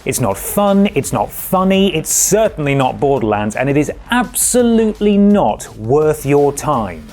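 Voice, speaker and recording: A man's voice: a little high, sing-song, a little slow, a little mellow. A man delivering a scripted review verdict in a blunt, emphatic way. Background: faint background music.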